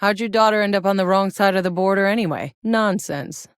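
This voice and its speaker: A woman's voice: medium pitch, brisk, smooth, no room sound, studio quality, close to an everyday voice. An actress playing a tough, sardonic female soldier in a sci-fi shooter game, with a wry, blunt delivery. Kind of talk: video game voice lines, naturalistic acting.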